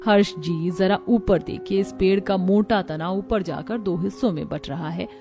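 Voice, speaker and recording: A woman's voice: mid-range voice, normal speed, over music, lightly acted. A woman voicing a household woman in an acted family cartoon story. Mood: earnest. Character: women of a cartoon family, mother-in-law and daughter-in-law.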